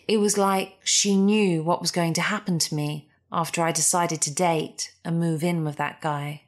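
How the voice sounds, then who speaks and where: A woman's voice: fairly low pitch, fairly even intonation, moderate pace. A woman reading a first-person story as a scripted narrator. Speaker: narrator reading listener stories.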